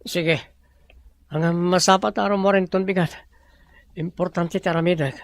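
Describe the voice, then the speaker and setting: A Filipino boss in a radio serial, with a gravelly creak in his voice. He is businesslike but quick to exasperation, and his conscience troubles him. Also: high-pitched, fairly even intonation, no echo. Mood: brisk, suspicious. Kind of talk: radio drama acting.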